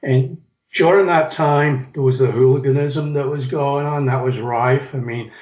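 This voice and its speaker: A man's voice: medium pitch, steady tone, measured pace, a little mellow. A middle-aged man, telling stories from his youth as an interview guest. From England. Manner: storytelling, reflective, with some restarts. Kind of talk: reminiscing interview talk. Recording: echoey, slightly dull recording.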